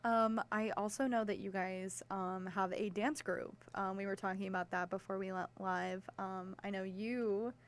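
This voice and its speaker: Young woman, medium pitch, student radio host, casual interview style, excitable, informal. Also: moderate pace, hardly any pauses. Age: young adult.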